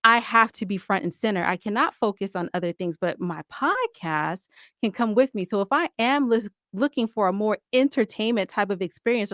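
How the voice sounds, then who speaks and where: A woman's voice: mid-range voice, dry recording, clean studio sound. A woman talking conversationally as a podcast guest, explaining things with enthusiasm and emphasis. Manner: enthusiastic, emphatic, explanatory, often checks with "right?". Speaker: podcast guest, audio drama producer. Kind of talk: conversational interview talk.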